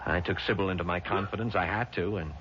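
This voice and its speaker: An actor playing a stern, controlled husband, measured and grave, in 1970s radio drama style. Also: male voice, deep voice, steady tone, close to an everyday voice. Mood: grave, explaining. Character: stern, controlled husband outwitting a murderous woman. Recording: noisy, muffled vintage radio recording.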